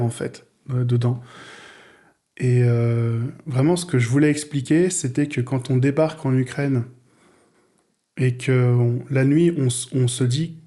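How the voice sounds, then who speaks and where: A man from France speaking casually as an interview guest, haltingly, repeating words as he searches for phrasing. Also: medium pitch, warm tone. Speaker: guest, audio fiction writer and director.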